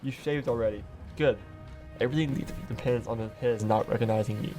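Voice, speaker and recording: An actor playing a resolute, commanding friend in a radio play, speaking in an urgent, firm, instructing way. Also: male voice, mid-range voice, a little fast, dark tone, faint music behind, natural voice. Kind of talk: radio drama acting.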